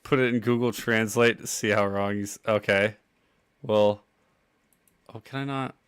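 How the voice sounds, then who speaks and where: Man, bright voice, laid-back podcast chat, laughs often, trails off. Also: fairly low pitch, steady tone, a little slow, clean tone. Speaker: podcast co-host. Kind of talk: casual chat.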